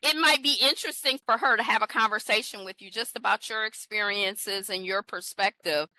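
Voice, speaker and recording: A woman in her fifties co-hosting a podcast with a bright, forward voice. She rambles and corrects herself in easy conversation. The sound is dry and close to the mic. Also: medium pitch, fairly even intonation, a little fast, dry recording, rough recording. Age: 50s. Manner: rambling, self-correcting and chatty. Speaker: podcast co-host. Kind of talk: casual conversation.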